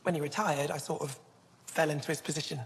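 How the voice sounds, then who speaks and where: Young alien relic curator, game dialogue. Low female voice, earnest and wistful, in a reverberant hall. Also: fairly even intonation, fast, gravelly. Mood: thoughtful.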